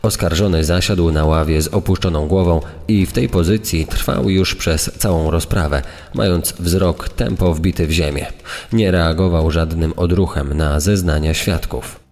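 An actor playing a solemn 1930s press reporter, reading a lurid trial account in formal style. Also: male voice, sits low, moderate pace, a little mellow. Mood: grave, sensational. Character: grave 1930s newspaper reporter recounting a trial. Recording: long hall reverb.

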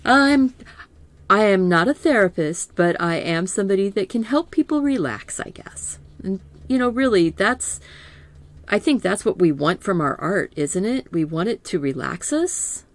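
A middle-aged woman teaching a live painting lesson in a calm, soothing voice, gently thinking aloud as she works. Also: medium pitch. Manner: slow, gentle, thinking aloud with small laughs. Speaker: art teacher.

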